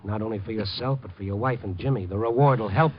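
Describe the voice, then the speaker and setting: A hard-boiled reporter played by a man in a muffled 1950 radio broadcast. His voice is low, terse and intent, tough but level-headed. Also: quick pace, slight background noise, lightly acted. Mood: urgent persuasion. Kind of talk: 1950 radio crime drama acting and narration.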